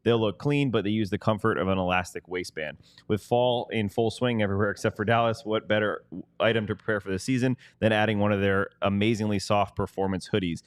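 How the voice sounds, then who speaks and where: A man's voice: lowish voice, steady tone, fairly quick, clean tone, no room sound. A man co-hosting a podcast, reading sponsor copy casually and ad-libbing along the way. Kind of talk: casual ad read with ad-libs.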